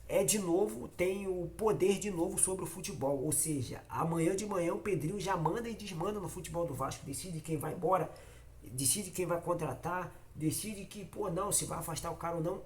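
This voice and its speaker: A man's voice: sits high, normal speed. Man, Carioca accent, nasal from a cold, casual fan vlog host, repetitive, emphatic, talks to viewers. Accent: Carioca Brazilian Portuguese. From Rio de Janeiro, Brazil. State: has a cold. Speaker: football fan channel host.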